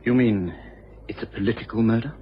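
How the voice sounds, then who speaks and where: An actor playing a plain-spoken, sceptical police inspector in a radio crime drama, matter-of-fact and dismissive. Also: male voice, lowish voice, fairly quick, lightly acted. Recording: muffled, hissy old radio recording.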